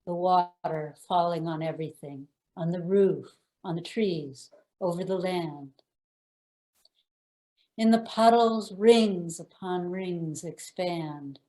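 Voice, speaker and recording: A woman recites poetry in a slightly low voice. She takes it slowly, phrase after phrase. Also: mellow. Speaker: poet.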